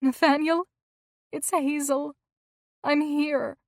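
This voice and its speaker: A woman's voice: on the high side, normal speed, dry recording, clean studio sound, close to an everyday voice. A woman voicing a grieving, pleading young wife. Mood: desperate. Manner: pleading, breaking, emotional.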